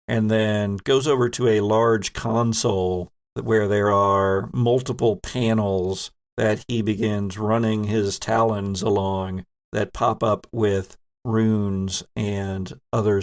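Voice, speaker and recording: Slow, gravelly man narrating a game. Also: lowish voice. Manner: descriptive, deliberate, pausing between phrases. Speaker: game master. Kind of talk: improvised narration of a tabletop horror game.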